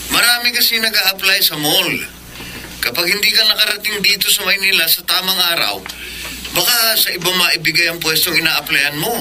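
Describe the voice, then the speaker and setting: A man's voice: high voice, normal speed, bright, forceful tone, slight background noise. A male actor playing a helpful young friend on a phone call, earnest and urging, in a Filipino radio drama. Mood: concerned, friendly.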